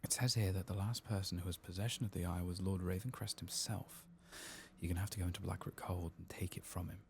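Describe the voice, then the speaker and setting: A man speaking softly and often whispering in ASMR style as he comments on a game he plays, close to the microphone. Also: low voice, fairly even intonation, a little hiss or hum. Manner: hushed, reads quest text aloud slowly. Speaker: ASMR gaming streamer narrating a playthrough.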